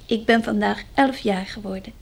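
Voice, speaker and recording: A woman's voice: mid-range voice, normal speed, vocal fry, breathy edge. A woman acting in a radio drama as an earnest, anxious eleven-year-old farm girl who hesitates when worried. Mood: proud, careful.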